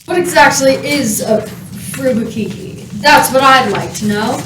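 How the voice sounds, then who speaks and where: Casting: woman as flustered stately diplomat, slow delivery, highish voice, distant noisy roomy recording. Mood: indignant. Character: formal, flustered human diplomat chairing the assembly. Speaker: spokesperson. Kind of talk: radio play comedy acting.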